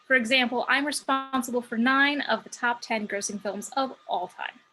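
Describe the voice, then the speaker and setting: A woman's voice: fairly high pitch, moderate pace, pushed and bright, low-quality recording. A woman playing a cocky, sardonic muse who works as a stripper, in a script table read. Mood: boastful, wry. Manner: sardonic, brash. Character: sassy, cocky female muse working as a stripper.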